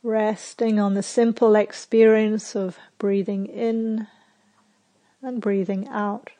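Slow, soft-spoken woman guiding meditation. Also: mid-range voice, flat delivery. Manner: slow, soft, unhurried with long pauses. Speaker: meditation teacher. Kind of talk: guided meditation. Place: retreat center.

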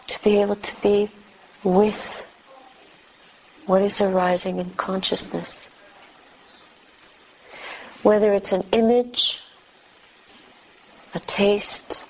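A woman leading a guided meditation as a teacher, speaking gently and in measured short phrases with long pauses. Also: lowish voice, a little hiss or hum, poor audio. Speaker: meditation teacher, Buddhist nun.

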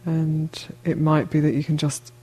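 A woman meditation teacher with a soft-edged, mellow voice and very little rise or fall. She speaks slowly and gently, leaving long pauses between short phrases as she guides the listener. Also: low voice, flat delivery, husky.

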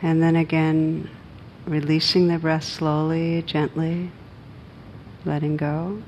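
A low-voiced woman leads a guided meditation with very little rise and fall in her pitch. She gives gentle, unhurried instructions and leaves long pauses between phrases. A steady background noise with a low rumble sits behind her voice. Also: low-quality recording. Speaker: meditation teacher.

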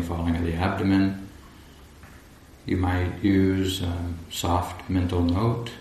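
A man guiding a meditation as a teacher, speaking calmly and deliberately in short phrases with long pauses. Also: low voice, vocal fry. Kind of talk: guided meditation instruction. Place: large echoey indoor room at a retreat. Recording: roomy echo, faint background noise.

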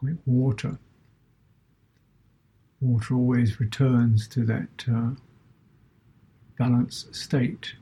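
A man teaching a guided meditation as a Buddhist teacher, speaking in short measured phrases with long pauses between them. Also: mid-range voice, flat delivery, dark tone, breathy edge, poor audio.

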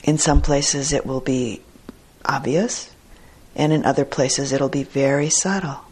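A woman teacher guiding a meditation in a measured, deliberate way with long pauses and a husky, soft-edged voice. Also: extremely low pitch, fairly even intonation, low-quality recording. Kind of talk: guided meditation instruction.

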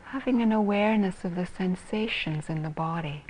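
A woman teaching meditation in a soft, breathy, husky voice, calmly and gently guiding with long pauses between phrases. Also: fairly low pitch, low-quality recording. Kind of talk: guided meditation.

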